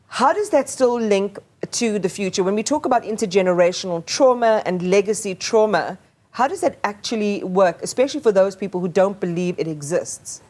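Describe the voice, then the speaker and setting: A woman's voice: medium pitch, animated, a little fast, a little mellow. A woman anchoring a news interview, asking long, thoughtful questions and stumbling over repeated words.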